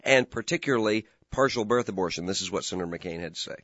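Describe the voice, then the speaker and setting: A male talk radio host with a hoarse, gravelly voice, pitched on the low side. He speaks earnestly and gives his views, now and then stumbling over the first word of a sentence. The sound is dry and close, a little dull. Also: fast talker, a bit bright, no echo. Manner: earnest, opinionated, repeats words when starting. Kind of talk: political talk radio commentary.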